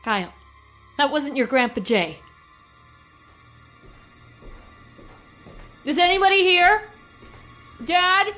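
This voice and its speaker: An actress playing a young boy's exasperated, anxious mother in a horror audio drama, expressive and exclamatory. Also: high-pitched, a little slow, faint music behind, full character voice. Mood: puzzled, uneasy.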